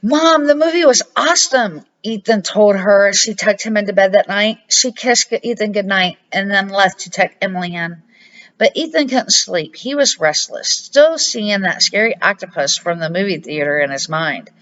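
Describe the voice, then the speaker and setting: Older woman, children's storybook narration, average pitch for a woman, muffled lo-fi home recording. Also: lively intonation, normal speed, a bit bright. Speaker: amateur storybook reader, a grandmother. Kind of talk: children's storybook read aloud.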